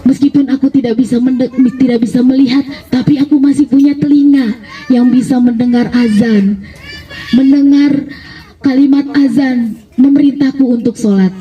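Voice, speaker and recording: A woman voicing a frail, blind, pious old man in a live children's story, speaking haltingly and with gratitude. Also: high voice, steady tone, dark tone, distant mic, lightly acted. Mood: devout, grateful. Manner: halting, exclamatory, addressing listener as young man.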